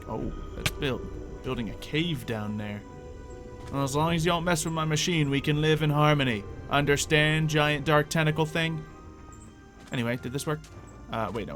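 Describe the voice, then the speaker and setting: A man's voice: fairly high pitch, a bit bright. A man doing casual gameplay commentary with some light roleplay, rambling and thinking aloud as he goes. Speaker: gaming video host.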